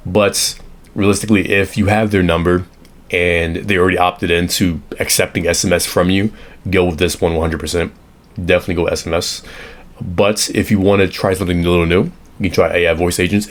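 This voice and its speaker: A young man with a deep, low voice, hoarse from a recent illness. He walks through automation setups in a loose, rambling way, stopping to correct himself as he sketches. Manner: rambling, self-correcting, drawing on an iPad. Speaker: automation consultant. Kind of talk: casual screen-share tutorial.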